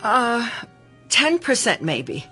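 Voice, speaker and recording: An anxious, easily alarmed patient in a radio drama. Her highish voice swings expressively and moves unhurriedly, stammering and hesitant. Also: sing-song, slow, pushed and bright, husky, soft background music, heavily acted. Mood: nervous.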